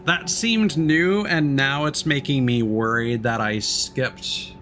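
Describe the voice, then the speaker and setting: Casual male streamer over soft music. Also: mid-range voice, takes it slowly. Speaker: live streamer. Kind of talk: casual game stream chat.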